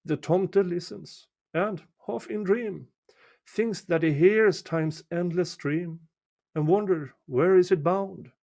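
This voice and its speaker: A man reading a folk poem aloud as a storyteller, measured and deliberate, pausing between short phrases. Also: high voice, gravelly, a little mellow. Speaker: amateur reader of a poem. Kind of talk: slow, dramatic storytelling recitation of a winter folk poem.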